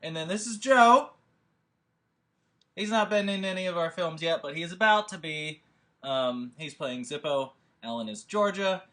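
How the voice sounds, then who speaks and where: Male host, a bit high-pitched, rambling and halting, talking loosely on a video call. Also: animated, sharp tone. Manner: hesitant, many fillers and restarts. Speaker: producer and director hosting a table read. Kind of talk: casual rambling chat to camera.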